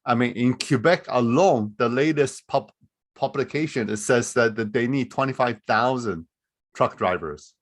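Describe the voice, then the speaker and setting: A man's voice: medium pitch, normal speed. A man presenting a live online webinar as a recruiter, explaining things in a loose, conversational way over a video call.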